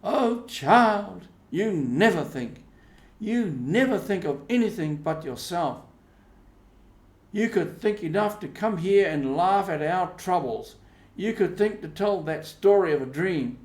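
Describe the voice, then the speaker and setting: A man acts out a stern old aunt from a storybook, hurt and scolding. The voice is pitched up and emphatic, full of reproach, in an echoey room. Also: animated, slow pace, darkish tone, exaggerated voice.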